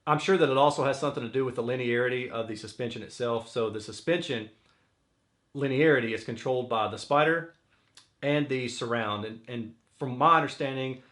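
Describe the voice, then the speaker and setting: A man's voice: medium pitch, fairly quick, a bit bright. Casual male audio reviewer explaining gear. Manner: conversational, technical explaining with hedged guesses. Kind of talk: casual explanatory product commentary.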